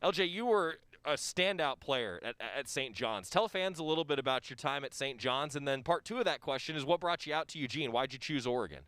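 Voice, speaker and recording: A man's voice: mid-range voice, expressive, a little fast, hardly any pauses, a bit bright, smooth, no room sound. A podcast host interviewing a college athlete, upbeat and enthusiastic, often stumbling over how he starts a sentence. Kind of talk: friendly sports interview.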